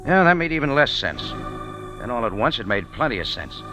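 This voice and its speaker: An actor playing a hard-boiled, wisecracking American adventurer in 1940s radio style, slangy and wry. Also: male voice, mid-range voice, very animated, brisk, lightly acted. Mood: wry narrating. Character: wry, tough American adventurer and first-person detective narrator. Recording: vintage 1940s radio broadcast with music.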